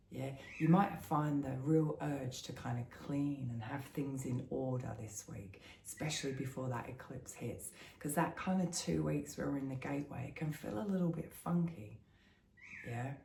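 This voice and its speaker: A middle-aged woman gives a weekly spiritual forecast in a chatty, reassuring way and ends her thoughts with "yeah?". Her voice is croaky and gravelly after the flu, with a warm, mellow tone. The room adds a noticeable echo. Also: very deep voice, a little hiss or hum. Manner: chatty, often asks a tag "yeah?". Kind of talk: casual spiritual chat.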